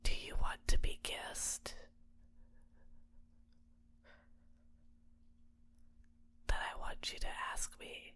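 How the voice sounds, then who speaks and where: A woman performs a manipulative villainess for an ASMR roleplay. Her voice sits on the low side, soft and deliberate, soothing her captive with possessive tenderness and gentle coaxing. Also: close to an everyday voice. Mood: whispered, intimate. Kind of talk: ASMR roleplay, intimate personal attention.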